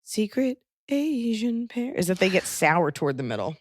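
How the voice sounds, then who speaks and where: A woman's voice: medium pitch, sing-song, moderate pace, dry recording, studio quality. A woman hosting a podcast in casual comedic chat, with a wry, self-mocking manner. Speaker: podcast host.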